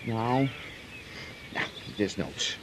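A laid-back rich farmer, low voice, swings all over when he teases, birds in the background. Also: sing-song, drawn-out words, a little hiss or hum, full character voice. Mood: coaxing. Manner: low-key, coaxing, playful. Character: gentle wealthy farmer courting a woman. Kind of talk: 1980s radio drama acting.

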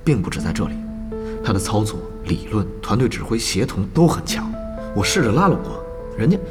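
A man's voice: lowish voice, very animated, fairly quick. A man playing a thoughtful, competitive guild swordsman in a Chinese audio drama, given to musing, sighing asides. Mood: impressed, serious. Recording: long hall reverb, music behind.

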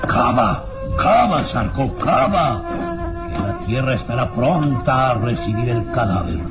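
Over loud music, a man plays a cruel baron of an old castle in a vintage radio drama. He speaks slowly and theatrically, drawing out his words with sinister, mocking relish. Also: mid-range voice, expressive, close to an everyday voice. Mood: gloating, sinister. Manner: drawn-out, theatrical, gloating. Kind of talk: 1960s-style radio drama acting.

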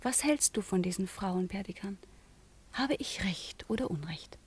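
An actress playing a proud, melancholy eighteen-year-old convent girl in a classical radio drama, with intense, declamatory delivery that often drops to a hush. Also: mid-range voice, lively intonation, normal speed, slightly rough. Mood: earnest, melancholy. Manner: intense, declamatory, often dropping to a hushed whisper.

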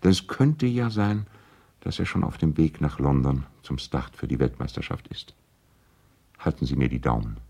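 A gentlemanly lawyer and concerned old friend in a vintage radio play. He has a low, deep voice and delivers his letters in an earnest, personal, pleading way. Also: moderate pace, creaky, breathy edge, heavily acted. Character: courteous, worried male lawyer and loyal family friend. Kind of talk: 1970s German radio play, letters read aloud.

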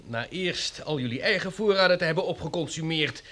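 An outspoken farm owner in a radio drama, his highish voice swinging expressively. He sounds blunt and defiant. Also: expressive, brisk, sharp tone. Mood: indignant. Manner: challenging, blunt.

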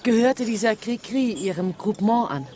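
A woman's voice: fairly high pitch, lively intonation, moderate pace, dark tone, soft background music, close to an everyday voice. An actress playing a persistent woman journalist with blunt, dry questions in a radio crime drama. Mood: cool, businesslike.

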